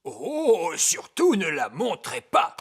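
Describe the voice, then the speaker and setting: A man's voice: sits high, huge pitch swings, slow pace, a bit bright, husky, full character voice. An actor voicing a fussy old male elf who announces theatrically in a French cartoon dub. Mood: showy. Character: fussy old male elf, wise elder and amateur magician.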